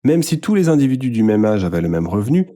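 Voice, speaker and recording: A man reading a nonfiction book aloud as scripted narration, in a measured, formal way. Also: medium pitch, very animated, warm tone. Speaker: audiobook-style reader.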